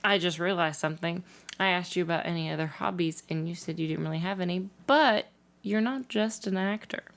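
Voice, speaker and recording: A woman's voice: lowish voice, a little fast. A woman interviewing a guest in a casual, conversational way as a podcast host.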